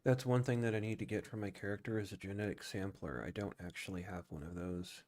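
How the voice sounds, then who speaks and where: Man, lowish voice, game streamer, casual commentary, musing, thinking out loud. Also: fast talker. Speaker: live streamer playing a space game.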